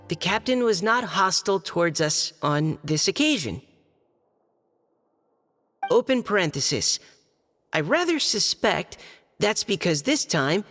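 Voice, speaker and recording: A dutiful young knight reads a long letter aloud in a slow, deliberate way. His pitch sits in a middle range, and the hall gives a long reverb under faint music. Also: fairly bright tone, heavily acted. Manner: careful, formal reading, halting when flustered. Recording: game cutscene with reverb and music.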